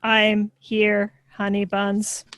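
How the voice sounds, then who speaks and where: A deadpan, childlike robot girl from a sitcom, acted by a woman. The delivery is slow and monotone, obediently literal, as if each phrase were processed before it is spoken. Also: mid-range voice. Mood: flat, obedient. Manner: flat, literal, robotic delivery that echoes others' words. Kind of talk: live sitcom table read.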